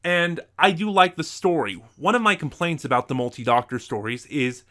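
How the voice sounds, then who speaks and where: A man's voice: fairly high pitch, normal speed, bright, forceful tone. A man presenting a semi-scripted review to viewers as a video host.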